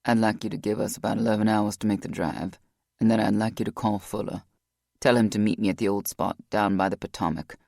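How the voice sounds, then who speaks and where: Lady reading a tough guy, low tender gravelly voice, resigned. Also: steady tone, brisk, darkish tone, dry recording, studio quality, exaggerated voice. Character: grave-voiced man, loyal tough ex-criminal.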